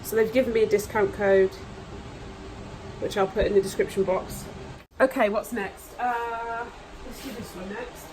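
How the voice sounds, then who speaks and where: A woman talks through an unboxing in a casual, gushing way, sniffling now and then from hay fever. Her voice sits at an ordinary pitch for a woman. A faint hiss of background noise runs under the recording. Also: steady tone, a little slow, pauses often. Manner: chatty, gushing over products. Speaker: nail vlogger. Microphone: camera mic, voice off camera.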